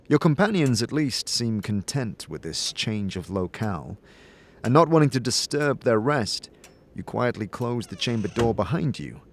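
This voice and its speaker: A man reading scripted fantasy audio drama narration in a measured, atmospheric storytelling manner. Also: on the low side, a little hiss or hum.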